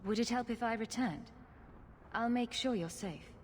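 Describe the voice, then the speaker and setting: An actress playing a confident, sarcastic heroine in a video game, speaking with dry, sardonic wit. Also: female voice, fairly high pitch, normal speed, long reverb tail, slight background noise, natural voice. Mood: dry, probing.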